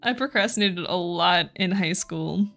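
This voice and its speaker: A woman's voice: on the low side, measured pace, vocal fry, fairly bright tone. Woman, young adult, streamer, casual chat, giggly, self-deprecating, faint music behind. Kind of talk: casual chat with viewers while drawing.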